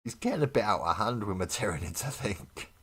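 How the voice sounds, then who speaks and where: Brief: low-voiced man, casual stream host, bit of a cough, chats with viewers. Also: lively intonation, brisk, slightly rough. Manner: chatty, laughs often, talks to viewers in chat. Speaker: mini painting livestream host. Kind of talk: casual chat while building models.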